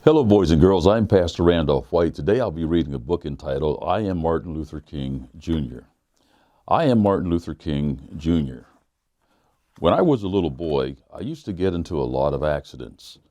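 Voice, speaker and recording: A very low-voiced man reads a children's book aloud, earnest and unhurried, the way a pastor speaks to kids. His tone is dark and mellow, and the sound is close and dry with almost no room around it. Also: lively intonation, no room sound. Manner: measured, earnest storybook reading.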